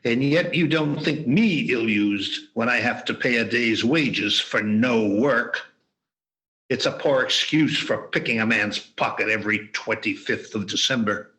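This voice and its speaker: A man's voice: mid-range voice, unhurried, bright, forceful tone, rough recording. A man playing a miserly, grumpy old man, curt and scornful, in an amateur radio play over a video call. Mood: grumbling.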